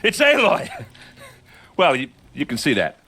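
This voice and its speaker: An actor playing an excitable, loyal warrior ally in a video game, with a rough-edged voice. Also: male voice, fairly high pitch, a little slow, full character voice. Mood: excited. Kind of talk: video game drama acting.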